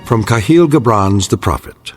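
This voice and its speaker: A man presenting scripted literary narration in a solemn, measured way. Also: fairly low pitch, expressive, over music.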